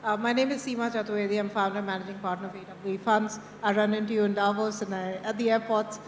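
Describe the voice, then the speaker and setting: A woman's voice: medium pitch, fairly even intonation, fast. A woman asking a rambling question from the audience at a conference, speaking into a handheld mic with many fillers and restarts. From India. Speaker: audience questioner, fund founder. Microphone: handheld microphone. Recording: echoey hall with faint background noise.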